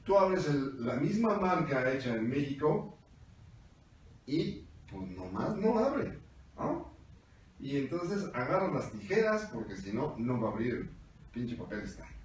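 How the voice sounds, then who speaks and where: A man's voice: mid-range voice, sing-song, unhurried, long pauses, slight background noise. A Mexican man co-hosting a casual panel chat, telling wry stories with a Mexican Spanish accent. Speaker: co-host. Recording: very echoey room, mic at a distance.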